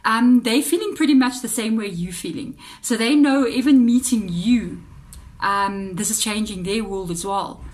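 A woman's voice: a little high, normal speed, darkish tone. Chatty South African woman reading tarot. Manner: chatty, musing aloud, addresses viewers directly. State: voice a bit off, slightly unwell-sounding. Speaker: tarot reader. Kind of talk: casual tarot reading to camera. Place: indoors at a tabletop altar.

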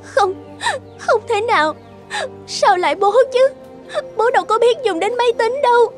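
A woman voicing an emotional, stubborn young woman in a cartoon, expressive and emphatic. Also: very high voice, normal speed, soft background music. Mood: upset, disbelieving. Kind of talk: animated cartoon dialogue.

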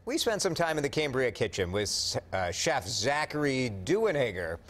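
A man's voice: mid-range voice, sing-song, normal speed, fairly bright tone. A man in his forties, a TV reporter chatting and asking jokey leading questions in a studio kitchen. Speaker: TV reporter and co-host. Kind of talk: live TV cooking segment banter.

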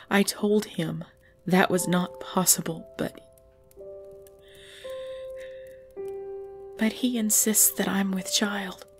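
A woman acting a tender, regal female vampire lover in an intimate ASMR roleplay, speaking softly and hesitantly with breathy whispers. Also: medium pitch. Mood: scared, hesitant. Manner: soft, hesitant, often dropping to breathy whispers. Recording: music bed under the voice.

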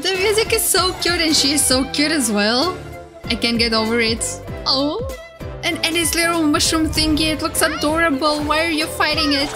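A high-pitched woman streams her reactions in a casual, excited way. She is playful and gushes freely, with trailer music playing clearly behind her. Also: moderate pace. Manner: playful, gushing. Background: game trailer music.

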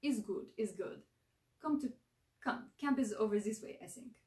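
A woman's voice: medium pitch, expressive, normal speed, mellow, natural voice. Lady doing a blunt squirrel monkey boy, thick russian accent, touchy n wary, storybook critter, echoey and far off. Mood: grudging. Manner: blunt, clipped, broken grammar. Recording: roomy echo, far from mic.

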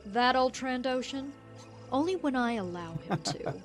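A woman performs a ruthless bounty hunter in a dramatic comic dub. Her delivery is cold, clipped and deliberate, aloof and threatening. Music plays clearly behind her. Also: sits high, lively intonation. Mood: cold, menacing.

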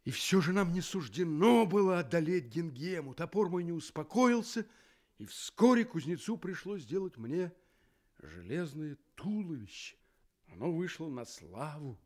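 A man's voice: a little high, big pitch swings, a little mellow. An actor playing a sentimental, courteous iron woodman in a radio play, speaking earnestly and dramatically. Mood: wistful. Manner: earnest, dramatic, drawn-out delivery. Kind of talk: theatrical Soviet radio play acting.

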